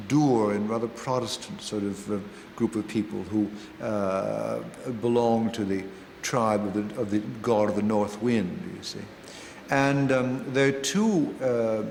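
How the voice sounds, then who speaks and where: A man explains an opera's plot in an interview, stopping often to search for words. His voice is gravelly and sits in a middle pitch. The sound echoes in a large hall, with faint noise behind. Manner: halting, full of fillers.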